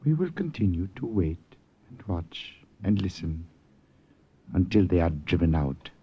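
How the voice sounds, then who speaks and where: An actor in an old radio drama playing a courtly, enigmatic Siamese regent, speaking in measured, formal, eloquent phrases. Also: male voice, low-pitched, sing-song, noisy background. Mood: solemn, resolute. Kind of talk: 1940s-50s radio drama acting. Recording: dull, lo-fi old radio recording.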